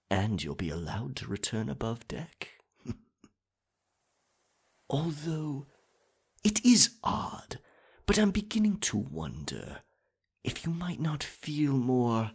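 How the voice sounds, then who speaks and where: A man playing a dominant, possessive pirate captain in an intimate ASMR roleplay, teasing and sly, with a raspy, breathy voice. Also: fairly bright tone, full character voice. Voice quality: raspy, breathy, gravelly low voice. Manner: slow, deliberate, teasing pirate speech.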